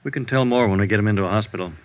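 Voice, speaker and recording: An actor playing a world-weary, matter-of-fact emergency doctor in an old-time radio drama, with clipped, businesslike delivery. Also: male voice, on the low side, racing, dull audio, natural voice. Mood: brisk. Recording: vintage radio recording, band-limited, faint hiss.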